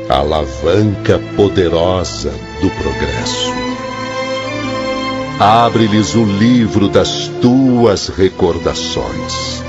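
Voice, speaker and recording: An actor playing a compassionate divine master in a radio drama, solemn and exhorting, with a Brazilian accent. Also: male voice, sits low. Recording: dull recording under loud music.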